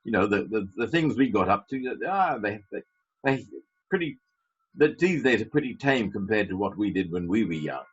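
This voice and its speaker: A man's voice: on the low side, expressive, moderate pace, husky. A man preaching a sermon as a pastor, emphatic, with wry asides. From Britain. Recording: dull lo-fi sermon recording.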